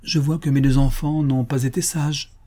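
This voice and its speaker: A man reading the part of an indulgent grey-haired mother who gently scolds her children. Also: medium pitch, steady tone, moderate pace, pitched above the performer's own voice, natural voice. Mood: fond scolding. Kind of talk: audiobook character reading.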